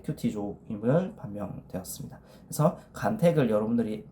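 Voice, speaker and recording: A young man with a cold talks casually through a numbered list, leaning on short filler words between points. His pitch sits in an ordinary middle range. A faint hiss runs under the voice. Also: dark tone. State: has a cold. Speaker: MBTI video creator. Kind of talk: casual explanatory monologue.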